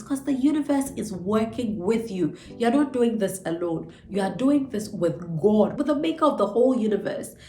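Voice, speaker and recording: A woman's voice: mid-range voice, dark tone. A woman hosting her channel, stuffy and nasal from a cold, talking earnestly and encouragingly about a book and stretching words out for emphasis. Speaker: channel host. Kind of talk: motivational book breakdown. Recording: echoey room with faint music.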